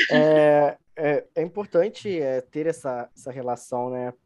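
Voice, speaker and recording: A man's voice: a little high, slow pace. A young man with a Brazilian Portuguese accent telling personal stories casually as a podcast guest, emphatically repeating his phrases. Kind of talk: casual conversational storytelling.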